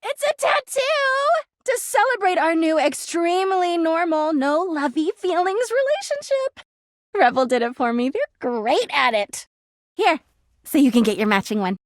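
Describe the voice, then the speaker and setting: Video game role: lovesick female object come to life, needy, passive-aggressive, high-pitched with very animated swings. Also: a little slow, no echo, clean studio sound. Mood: flirty, eager. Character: clingy, volatile, lovesick female living laundry hamper. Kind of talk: video game character acting.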